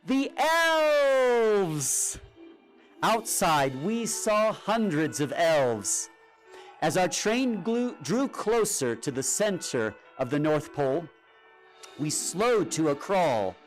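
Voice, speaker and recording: A man narrates a children's storybook with expressive drama. His fairly high voice swings widely in pitch and takes its time, with music playing behind it. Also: slow, rough recording. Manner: expressive, dramatic read-aloud delivery. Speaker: storybook reader. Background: music and sound effects.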